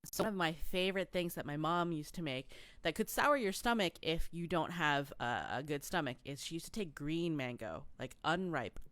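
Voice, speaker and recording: A woman co-hosting a travel podcast in casual chat, telling stories in an animated way with plenty of fillers. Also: low voice, normal speed, dry recording. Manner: chatty, animated storytelling with many fillers. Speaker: podcast co-host.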